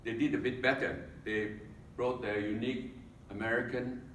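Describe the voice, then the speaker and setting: Filipino-accented man, contest speech, dry humor, echoey hall, heard from a distance, faint room noise. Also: medium pitch. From Philippines. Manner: deadpan joking with pauses for laughs. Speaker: contest speaker. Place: meeting hall.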